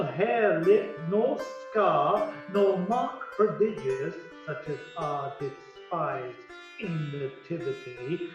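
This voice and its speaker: An actor playing a stately fairy king in an amateur radio play, chanting Shakespearean verse in measured rhyme. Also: high-pitched. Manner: incantatory, measured rhyming delivery. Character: Oberon, stately fairy king blessing a house. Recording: very echoey, distant, muffled, music behind.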